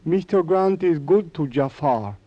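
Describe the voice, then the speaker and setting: A man's voice: fairly high pitch, lively intonation, a little slow, dry recording. A man playing a proud, watchful servant-mechanic in an old-time radio drama, speaking formally and deferentially. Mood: quietly challenging. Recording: dry, dull vintage radio sound.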